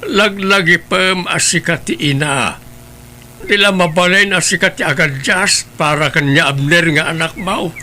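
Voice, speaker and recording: A man's voice: sits high, normal speed, sharp tone. A man acting as an old grandfather in an audio drama, earnest and admonishing, with a Filipino accent. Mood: reproachful, pleading. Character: old grandfather, reproachful and concerned father of grown daughters.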